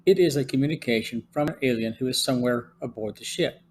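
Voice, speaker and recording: Podcast guy reading his review script, steady even voice, middle pitch. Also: quick pace, slight background noise. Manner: steady, even read-aloud delivery. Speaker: podcast host.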